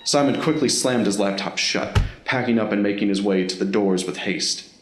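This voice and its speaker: A man's voice: lowish voice, normal speed. A man reading scripted narration for an audio drama. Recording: roomy, echoing voice recording.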